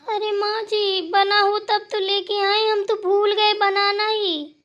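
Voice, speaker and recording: A woman's voice: very high-pitched, level tone, slow, fairly bright tone, muffled recording, exaggerated voice. A woman voicing a meek young village daughter-in-law in a Hindi family cartoon, pleading and making excuses. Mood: apologetic.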